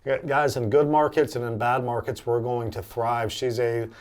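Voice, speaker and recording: This is a man with a medium-pitched voice, hosting an interview for his company. He speaks conversationally but with a promotional bent. He breaks in to underline key points and turns to address the viewers directly. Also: level tone, moderate pace. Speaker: interviewer and host, company representative.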